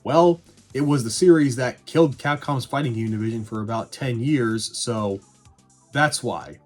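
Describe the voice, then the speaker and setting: A man with a husky voice giving casual, scripted movie review commentary in a sarcastic, irreverent, sweary manner. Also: medium pitch, moderate pace, soft background music. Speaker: video reviewer.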